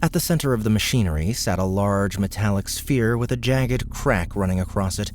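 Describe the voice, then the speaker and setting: A man's voice: lowish voice, a little fast, a little hiss or hum. A man narrating scripted fiction as an audiobook narrator.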